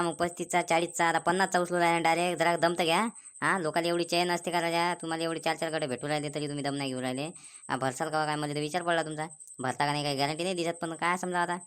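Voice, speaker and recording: A woman's voice: fairly low pitch, clean tone, lightly acted. A woman playing a skeptical, nagging villager in a comic cartoon, chattering warnings in a Vidarbha Marathi accent. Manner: chattering, admonishing.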